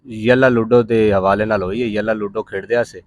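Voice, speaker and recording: A man's voice: lowish voice, steady tone, brisk, no room sound. A man from Pakistan with a Punjabi accent, telling his story casually as an interview guest in a rambling, plain-spoken way.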